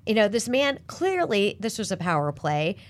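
A woman host and business reporter leading a panel discussion, animated and asking questions. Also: mid-range voice, moderate pace.

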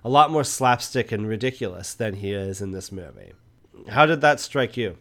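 A man's voice: fairly low pitch, expressive, moderate pace, a bit bright. Man chatting as a podcast co-host. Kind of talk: casual film review chat.

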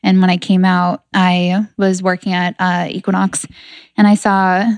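A woman in her thirties from New Hampshire tells her story as a guest on a relaxed podcast. She sounds chatty and laughs often, with a slightly low voice that comes across dry and close. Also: normal speed, clean tone, no room sound. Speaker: podcast guest, fitness entrepreneur. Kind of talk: casual interview chat.

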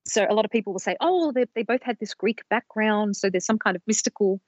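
A woman's voice: mid-range voice, fast talker, darkish tone, breathy edge, dry recording. A woman co-hosting a podcast, carefully walking through her research in a casual, explanatory way with small restarts. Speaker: podcast co-host presenting research. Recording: dull lo-fi dry recording.

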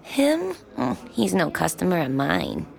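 An actress playing a forthright small-town diner waitress in an audio drama, wry, folksy and warm-hearted. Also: female voice, fairly low pitch, very animated, unhurried, vocal fry, exaggerated voice. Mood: wry, hurt. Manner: teasing, folksy, direct. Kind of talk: naturalistic audio drama dialogue.

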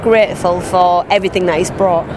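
A woman's voice: on the low side, steady tone, brisk. A woman in her thirties with an English regional accent, chatting enthusiastically as a guest in a casual convention interview, often restarting her sentences. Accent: English regional, not London. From England. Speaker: guest actress. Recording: clear traffic noise outdoors.

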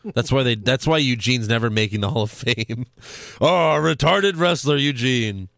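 A man's voice: medium pitch, moderate pace, creaky, fairly bright tone, no room sound. A man hosting a casual podcast, teasing his co-host and reading out plugs. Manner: dry teasing, reads fan questions and show plugs.

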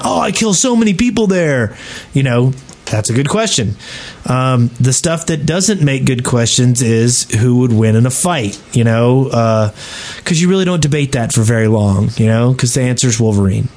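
A man's voice: mid-range voice, a little mellow. Dry, joking man on a gaming podcast. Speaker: podcast co-host. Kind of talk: casual gaming podcast banter.

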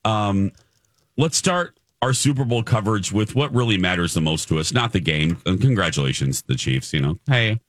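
A man's voice: on the low side, animated, moderate pace, no echo, studio quality. An American man hosting a morning radio show with casual, playful, chatty banter.

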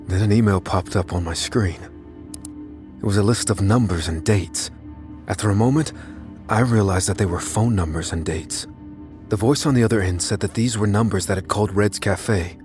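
An actor playing an anxious, unassuming man who narrates his hunt for a killer in an audio drama, measured and confessional. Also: fairly low pitch, natural voice. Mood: tense, measured. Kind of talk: first-person dramatic narration in an audio thriller. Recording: music under the voice. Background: music.

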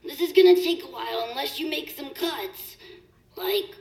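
A practical stage technician, talks in a high, creaky voice with a laid-back, matter-of-fact air. The roomy echo suits a comic radio play. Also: female voice, lively intonation, normal speed, darkish tone. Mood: exasperated. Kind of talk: comic audio drama acting.

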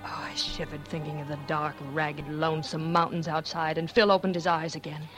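An actress playing a scheming, cold-blooded former stage actress, confessing in dramatic 1940s radio suspense style. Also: female voice, low-pitched, flat delivery, brisk, natural voice. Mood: desperate, tense. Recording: 1940s radio recording with music.

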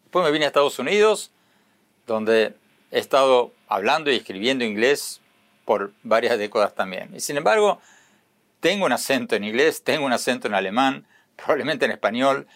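A middle-aged man from Argentina, hosting a broadcast interview and asking measured, earnest questions. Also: mid-range voice, fairly bright tone. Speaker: news show host.

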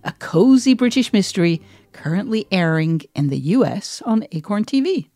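A woman's voice: medium pitch, lively intonation, moderate pace, warm tone, no echo. A woman presenting a podcast and interviewing in a conversational style on a close mic.

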